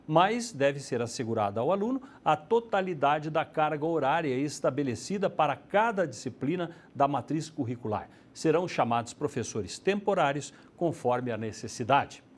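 A man's voice: fairly high pitch, expressive, moderate pace, hardly any pauses. A middle-aged man with a Brazilian accent presenting television news in a studio on a lapel mic. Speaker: news anchor.

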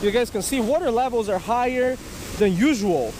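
A man giving a casual fishing tutorial to camera outdoors, animated and often checking in with short tag questions. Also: very high voice. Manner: animated, repeatedly checks in with "right?" and "okay?". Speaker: fishing video host. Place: outdoors beside a rushing creek. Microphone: body-worn action camera. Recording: outdoor noise of rushing water. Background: rushing water.

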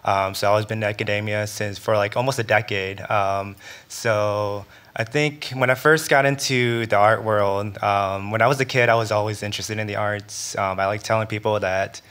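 A man in his thirties speaking on a stage panel into a table microphone, relaxed and heavy on fillers. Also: fairly low pitch, moderate pace. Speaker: panelist artist. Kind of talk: panel talk. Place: stage at an indoor arts venue.

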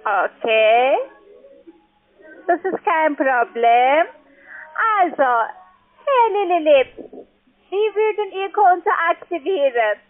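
A woman with a high voice makes a sales call over a tinny phone line. She is a non-native speaker with a foreign accent, talking like a call-centre agent. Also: lively intonation, slow, pauses often. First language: not German. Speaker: call-centre account manager.

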